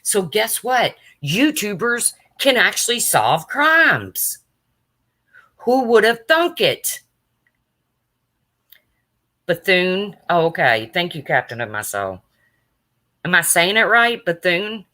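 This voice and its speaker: A woman with a low, raspy voice talks on her own live stream. Her tone is bright and forward-placed despite the rasp. She keeps it chatty and loose, answering viewers' comments one by one. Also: sing-song, takes it slowly, pauses often. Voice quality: deep raspy voice. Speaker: true crime livestreamer. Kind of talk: casual live stream chat.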